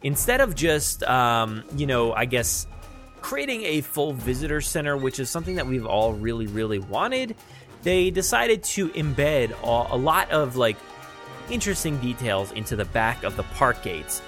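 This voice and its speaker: A man hosts a podcast in a casual, unscripted style. He talks haltingly, thinking aloud as he goes, at a middle pitch with faint music in the recording. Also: big pitch swings, a bit bright. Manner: halting, thinking aloud while describing what he sees. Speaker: podcast host. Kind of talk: casual unscripted news chat.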